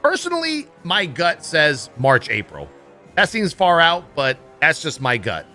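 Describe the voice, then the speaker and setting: Bright, forceful man commenting on a livestream. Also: a little high, wildly swooping pitch, measured pace, soft background music. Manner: blunt, swears freely. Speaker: livestream host. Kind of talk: casual livestream commentary on gaming news.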